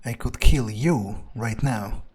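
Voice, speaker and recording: A man improvising in character during a video game playthrough, thinking aloud bluntly and haltingly, with swearing. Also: medium pitch, mellow, breathy edge. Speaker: video game player speaking as his character.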